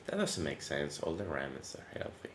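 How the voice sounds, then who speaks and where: A man with a very low voice, thickened by allergies, talks through a coding tutorial in a relaxed, chatty way, full of little fillers and restarts. He sounds like he is in an echoey room, with a faint hiss of noise behind him. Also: moderate pace. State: voice deepened by allergy. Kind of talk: casual screen-recorded coding tutorial.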